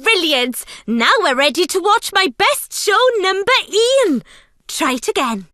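A woman's voice: very high voice, very animated, sharp tone, no echo, studio quality, exaggerated voice. A woman voicing a cheerful young female bug with a Welsh accent in a children's cartoon, talking in a bouncy sing-song way. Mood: teaching. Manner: bouncy, sing-song, teaching words slowly. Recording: music playing underneath.

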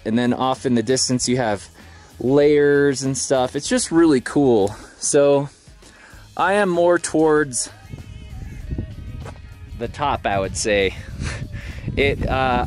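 A man's voice: medium pitch, a little mellow. A man in his thirties chatting casually on a road-trip vlog, upbeat and thinking aloud with lots of fillers, filmed on a camera held at arm's length in a van and outdoors. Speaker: vlogger. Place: inside a van and outdoors at a roadside overlook. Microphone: handheld camera at arm's length.

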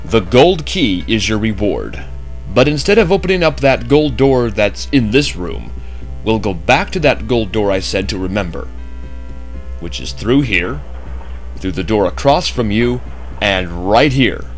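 Lively low-voiced man narrating a game. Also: unhurried, soft background music. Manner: chatty, jokey asides. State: just woken up. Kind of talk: casual gameplay commentary.

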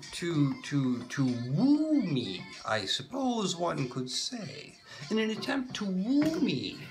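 A woman telling a comic story in a stagey put-on voice, with mock-formal phrasing, stammered repetitions and whispered asides. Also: low voice. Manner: puts on a stagey persona accent.

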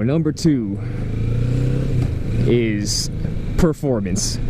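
Young man talking while riding a motorcycle. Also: mid-range voice. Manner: rambling, self-correcting, nitpicking. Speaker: motovlogger. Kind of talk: casual ride-along commentary. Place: riding a motorcycle on city roads, outdoors. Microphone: helmet mic. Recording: road and engine noise.

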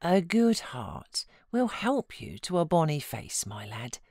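Woman playing a frank, low-voiced British housekeeper. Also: big pitch swings, a little mellow, no echo, clean studio sound, exaggerated voice. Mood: earnest encouragement. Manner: brisk advice, coaxing and chiding.

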